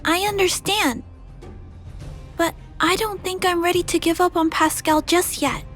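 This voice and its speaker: A woman playing a gentle, earnest young girl in a video game, polite and thoughtful but determined. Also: very high-pitched, steady tone, unhurried, faint music behind. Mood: earnest, hopeful. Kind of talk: scripted video game voice acting.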